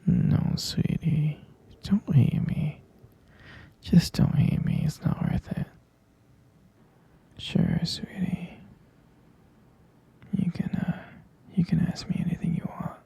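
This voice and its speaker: A very husky, dark whisper from a possessive boyfriend acted out by a man in an ASMR roleplay. He coos and coaxes with slow, halting menace, his breathy voice heavy with gravelly creak. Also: a little high, heavily acted. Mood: tense, pleading. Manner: cooing, coaxing, slow and halting. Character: obsessive possessive boyfriend captor, sweetly menacing.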